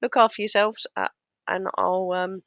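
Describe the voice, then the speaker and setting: Woman, hoarse, mocking commentary, dry dull lo-fi recording. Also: mid-range voice, level tone, moderate pace, fairly bright tone, no room sound. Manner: scornful, sarcastic, mocking. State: hoarse, losing her voice. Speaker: YouTube commentator. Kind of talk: casual reaction commentary.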